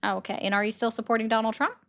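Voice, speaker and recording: A woman in her forties hosting a casual call-in livestream, talking briskly and directly with callers. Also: on the high side.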